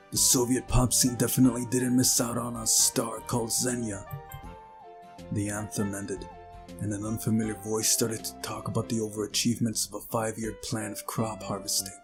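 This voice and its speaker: A man reading a visual novel aloud as narration, with casual asides of his own. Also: on the low side. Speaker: let's play narrator. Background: game music.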